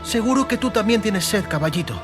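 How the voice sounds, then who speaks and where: A woman's voice: mid-range voice, lively intonation, a little fast, music in the background, lightly acted. An actress playing an exhausted, frightened but stubborn and tender teenage girl in a dramatized audiobook. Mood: gentle coaxing. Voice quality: hoarse, breathy whisper at times.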